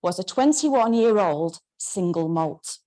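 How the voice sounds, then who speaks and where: A woman's voice: fairly low pitch, lively intonation, takes it slowly, dark tone. A woman voicing her blunt, wry, devout older mother, talking plainly and bluntly. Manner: blunt, accusing.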